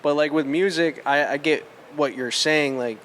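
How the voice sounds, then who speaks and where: A man's voice: fairly high pitch, fairly even intonation, normal speed, a bit bright, slight background noise. A young man in casual podcast chat, laid-back and full of fillers.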